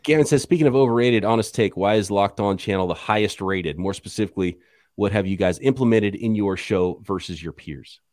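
A man co-hosting a sports podcast, talking casually with an animated, joking delivery. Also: fairly low pitch, brisk, no echo, clean studio sound.

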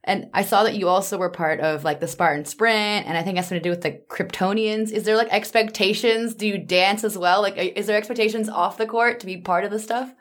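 A woman's voice: mid-range voice, a little fast, hardly any pauses. A woman hosting a podcast in a casual, conversational interview style. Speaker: podcast host.

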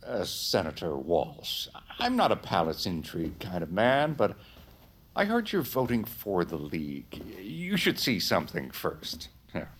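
Very deep, sly statesman. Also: huge pitch swings, a little hiss or hum, heavily acted. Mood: sly, insinuating. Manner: deliberate, insinuating. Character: sly, grave male former government secretary, quietly scheming. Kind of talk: period audio drama acting.

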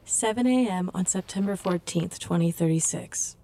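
Mellow, creaky female AI assistant. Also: lowish voice, moderate pace. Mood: neutral, polite. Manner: even, detached, courteous. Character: polite, unflappable female AI assistant. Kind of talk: scripted virtual assistant in audio drama.

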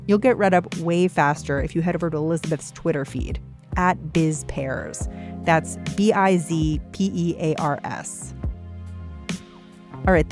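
A woman hosting a news podcast, narrating a scripted segment in a brisk, clear storytelling manner. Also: low voice, darkish tone. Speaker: podcast host.

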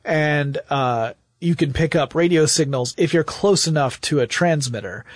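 A man's voice: on the high side, normal speed, dry recording. A man talking as a podcast host, explaining step by step in a casual, enthusiastic storyteller's way.

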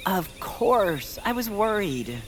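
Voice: mid-range voice, sing-song, slow pace, noisy background. A performer playing an anxious, devoted young friend in an audio drama, nervous, polite and rambling. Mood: tender. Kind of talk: audio fiction acting.

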